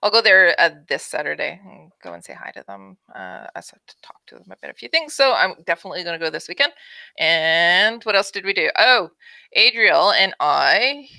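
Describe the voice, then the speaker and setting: Lady chatting on a podcast, low-ish voice, bright with lots of fry. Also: big pitch swings, a little fast, no echo. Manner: chatty, upbeat, trailing restarts. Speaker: podcast co-host. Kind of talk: casual chat.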